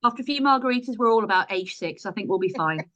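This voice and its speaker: A woman's voice: mid-range voice, lively intonation, quick pace, a bit bright, no room sound, lo-fi sound. A woman bantering casually and jokily with a book club group on a video call. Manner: joking.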